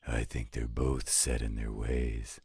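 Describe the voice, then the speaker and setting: A man voicing a gruff, patient older werewolf stepfather in a scripted roleplay audio drama. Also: extremely low pitch, level tone, a little mellow, heavily acted. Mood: dry, gruff. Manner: gruff, dry, unhurried.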